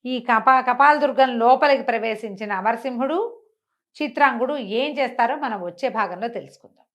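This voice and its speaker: A woman's voice: on the high side, moderate pace, fairly bright tone, muffled recording. A woman tells a fantasy folk tale in a conversational way, using rhetorical questions and repetition. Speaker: storyteller on a web show.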